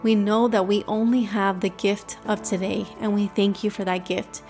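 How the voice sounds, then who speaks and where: A woman's voice: medium pitch, little pitch movement, moderate pace, a little mellow, soft background music. A young woman with a Cuban accent hosting a devotional podcast, teaching scripture and leading prayer in an earnest, emphatic way.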